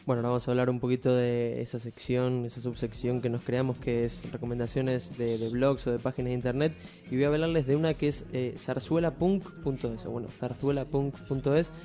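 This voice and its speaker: A man with an Argentine accent co-hosting an informal radio show, giving recommendations in a halting, hesitant way. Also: medium pitch, level tone, dark tone, faint music behind.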